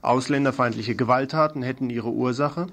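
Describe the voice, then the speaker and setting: A man's voice: mid-range voice, normal speed, a bit bright. A man presenting a radio news programme, reading scripted reports.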